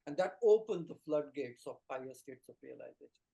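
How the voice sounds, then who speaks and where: A man's voice: mid-range voice, animated, fairly quick, gravelly, mellow. Middle-aged Indian-accented man, earnest explainer. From rural India. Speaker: Ayurvedic doctor and teacher, guest. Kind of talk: explanatory interview talk.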